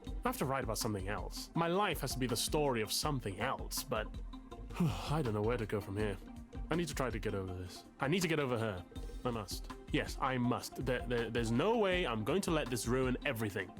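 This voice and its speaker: A man acts out a tormented literary man from a visual novel. He muses in florid, theatrical phrases at a middling male pitch, steeped in self-pity, with music playing underneath. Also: lively intonation, brisk, close to an everyday voice. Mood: despairing, resolute. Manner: theatrical, florid, anguished musing. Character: melodramatic, self-pitying male writer, a therapy client.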